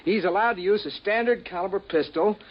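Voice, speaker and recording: A man's voice: sits high, a little fast. An actor in a radio drama playing a cocky, chauvinist, businesslike man who hunts for sport. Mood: matter-of-fact. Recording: muffled old radio drama recording.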